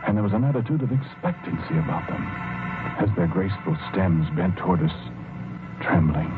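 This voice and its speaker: An actor playing a gentle husband obsessed with his flowers, speaking haltingly and earnestly in 1940s radio drama style on a muffled old recording. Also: deep voice. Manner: halting, earnest, repeats phrases.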